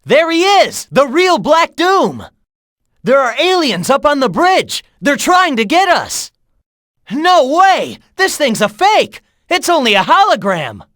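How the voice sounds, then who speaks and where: Brash game hedgehog hero, teasing and coaching his rival, animated delivery, dry close booth sound. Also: extremely high pitch, takes it slowly, no echo, exaggerated voice. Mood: alarmed. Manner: cocky, teasing banter; urgent warnings and coaching. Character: cocky young male hedgehog hero, fast and teasing. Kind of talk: video game voice acting.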